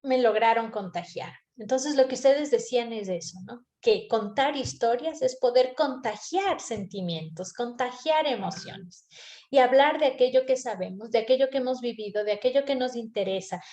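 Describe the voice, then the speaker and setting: A woman's voice: a little high, normal speed, darkish tone, reverberant. A woman teaching a storytelling workshop over a video call, earnest, repeating phrases for emphasis.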